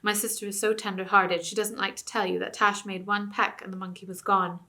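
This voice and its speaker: Storybook boy, calm and plain-spoken, a woman doing the voice; bright tone. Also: mid-range voice, brisk. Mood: matter-of-fact. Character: steady, matter-of-fact boy, a king. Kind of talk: audiobook character reading.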